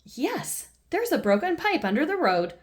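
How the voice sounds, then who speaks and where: A woman voicing a loud, bossy male bull who works on the road, shouting and mooing his lines. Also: sits high, very animated, moderate pace, voice pushed up.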